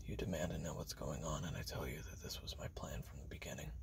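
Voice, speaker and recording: A man performs as a treacherous assassin telling his tale straight to the listener in an audio roleplay. His voice is extremely low and gravelly, often sinking into a whisper. The delivery is deliberate, close and hushed. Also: fairly even intonation. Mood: cold, menacing. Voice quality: breathy whispering with gravelly fry. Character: treacherous male assassin recounting his betrayal to you. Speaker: roleplay narrator. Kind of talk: second-person audio roleplay narration, close and intimate.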